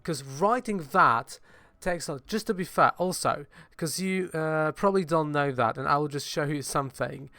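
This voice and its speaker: A man's voice: fairly high pitch, normal speed, pushed and bright, no room sound. A young man whose first language is Polish, hosting his own channel in a loose, rambling vlog chat with many fillers and self-corrections. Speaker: channel host.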